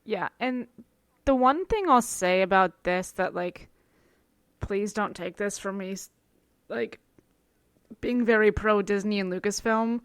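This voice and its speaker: Co-host, young woman from the East Coast, smooth clear voice, dry close sound, loose rambling delivery. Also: mid-range voice, unhurried, pauses often, dry recording. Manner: rambling, filler-heavy. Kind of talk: casual chat.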